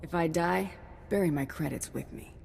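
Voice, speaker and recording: An actress playing a tough, cocky female bounty hunter in a video game, terse and deadpan. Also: low-pitched, moderate pace, gravelly, slight background noise. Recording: long hall-like reverb.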